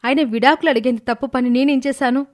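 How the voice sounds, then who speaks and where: A woman's voice: high-pitched, fairly even intonation, quick pace, darkish tone, voice pushed up, lightly acted. Woman playing a wronged, resolute young wife. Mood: shocked, defiant. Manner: earnest, protesting.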